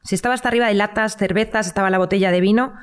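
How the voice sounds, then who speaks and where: An actress with a Castilian Spanish accent playing an incredulous, outspoken dinner guest in a naturalistic, conversational audio drama. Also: female voice, mid-range voice, steady tone, rapid-fire, clear, resonant tone, natural voice. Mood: emphatic, surprised. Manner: conversational, emphatic.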